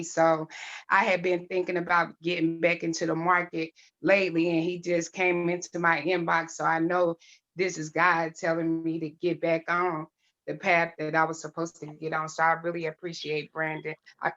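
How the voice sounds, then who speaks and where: A woman's voice: fairly low pitch, a bit bright, highs cut off. A woman calling in as a guest, speaking earnestly and gratefully. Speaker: guest caller.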